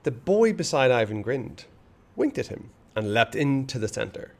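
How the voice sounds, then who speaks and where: A man reading a children's novel aloud, expressive and hushed in the dark passages. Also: medium pitch, measured pace, gravelly. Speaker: storyteller.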